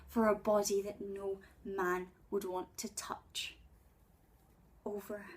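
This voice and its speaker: A woman in her twenties performing a spoken word poem in a breathy voice, with intimate, deliberate dramatic phrasing. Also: medium pitch. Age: 20s. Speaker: poet. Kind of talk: spoken word poetry recital.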